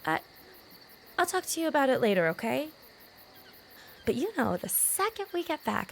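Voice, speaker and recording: A woman's voice: fairly high pitch, vocal fry, a little hiss or hum, exaggerated voice. A woman playing a frank, troubled teenage girl in a naturalistic audio drama, speaking candidly and casually. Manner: candid, colloquial, mildly profane. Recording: faint outdoor night ambience with crickets.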